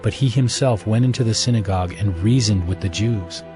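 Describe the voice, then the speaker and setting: Low-voiced male Bible narrator. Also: brisk, music in the background. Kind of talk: scripted audio Bible narration.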